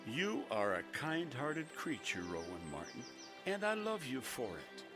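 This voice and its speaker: A man playing a kindly, dignified old owl in an animal audio drama, courtly, measured and avuncular. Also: mid-range voice, huge pitch swings, over music. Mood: gently advising.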